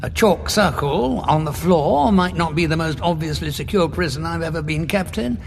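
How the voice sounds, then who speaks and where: A man acting in an audio drama as a weary, sardonic captive adventurer, gravelly, dry and probing. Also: on the high side, moderate pace. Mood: wry. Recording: long reverb, faint background noise.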